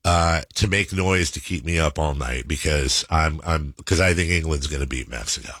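A man's voice: a bass voice, normal speed, fairly bright tone, no echo, studio quality. A man hosting a sports radio show, joking and rambling with frequent restarts. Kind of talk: casual radio banter.

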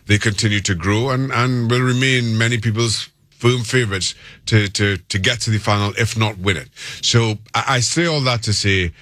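A man with a Trinidadian accent giving considered soccer analysis as a guest, measured and articulate, often repeating words for emphasis. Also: on the low side, a bit bright, no room sound. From Trinidad and Tobago. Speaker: soccer pundit, interview guest.

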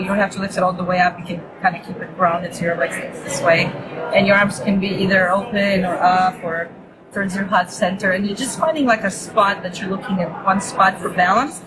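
A woman raised in Israel, Hebrew her first tongue, talks about her teaching in a loose, cheerful way, often restarting her sentences. Her pitch sits in an ordinary middle range. A crowd murmurs clearly behind her. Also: poor audio. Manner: loose, restarting, upbeat and laughing easily. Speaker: yoga teacher, guest. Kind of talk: casual interview chat.

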